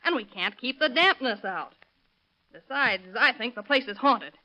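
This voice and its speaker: An actress playing a suspicious, fed-up housewife, brusque and complaining, in 1930s radio crime drama style. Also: female voice, a little high, animated, moderate pace, bright, forceful tone. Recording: dull lo-fi vintage radio recording.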